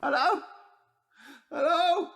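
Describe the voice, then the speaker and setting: A performer playing an exhausted, guilt-ridden young man in a dark fantasy audio drama, halting and pleading. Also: extremely high pitch, creaky, background noise, exaggerated voice. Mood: exhausted despair. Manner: halting, pleading, repeating phrases.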